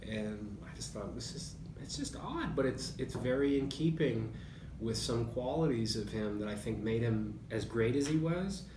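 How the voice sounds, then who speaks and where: A man talks as a guest in a sports interview, thoughtful and conversational. His pitch is middling and his delivery is measured, like someone recounting anecdotes. The mic sits at a distance in a roomy space, with clear noise behind him. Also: expressive. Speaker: interview guest, boxing writer.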